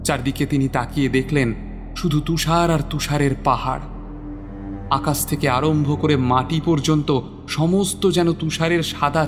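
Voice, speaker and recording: Male narrator for dramatised audio story, higher-set voice, measured and expressive, clear music bed.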